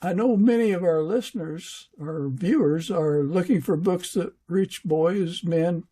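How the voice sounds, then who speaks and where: Guy doing a casual podcast interview, husky mellow voice, stops and starts a lot. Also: high voice, a little slow. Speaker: podcast host; fiction author.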